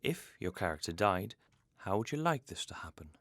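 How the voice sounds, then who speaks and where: A man hosting an interview from a script, in a formal, measured manner. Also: deep voice, very animated, fairly bright tone, dry recording, studio quality. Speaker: interview host.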